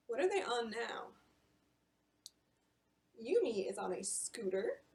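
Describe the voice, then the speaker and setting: A woman librarian reading a picture book aloud at bedtime storytime and explaining it to children in an animated way. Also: mid-range voice.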